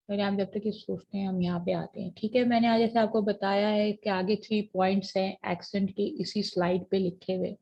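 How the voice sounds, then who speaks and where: A woman teaching an online class, explaining points in a mix of Hindi and English and reading definitions slowly off a slide. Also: mid-range voice, darkish tone. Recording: dull lo-fi online class audio.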